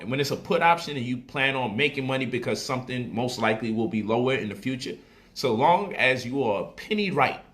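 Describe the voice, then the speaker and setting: Guy hyping up options trading, bright punchy voice, slangy, keeps repeating himself. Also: mid-range voice. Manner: animated, slangy, repeats phrases for emphasis. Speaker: speaker explaining stock options to viewers. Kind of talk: casual livestream talk.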